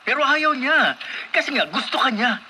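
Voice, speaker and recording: A man's voice: very high-pitched, big pitch swings, moderate pace, bright, forceful tone, heavily acted. An actor playing a cheeky young male workmate in a Filipino radio drama, chummy and teasing. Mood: teasing, envious. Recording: noisy radio drama broadcast.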